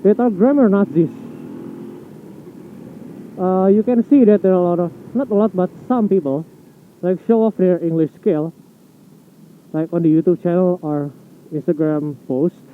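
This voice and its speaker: A man's voice: sits high, moderate pace, long pauses, slight background noise. Blunt Indonesian-accented young man, tinny sound. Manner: chatty, blunt, swears casually. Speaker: motovlogger. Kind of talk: casual vlog monologue.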